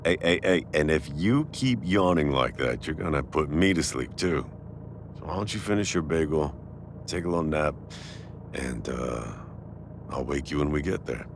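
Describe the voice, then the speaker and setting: A man playing a warm, teasing restaurant owner with a secret crush in a casual, intimate boyfriend audio roleplay. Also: extremely low pitch, lively intonation, normal speed, background noise.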